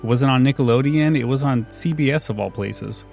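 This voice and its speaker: A man's voice: medium pitch, fairly quick, creaky, a little mellow, soft background music. A man hosting a podcast in a casual, chatty style. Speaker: podcast host.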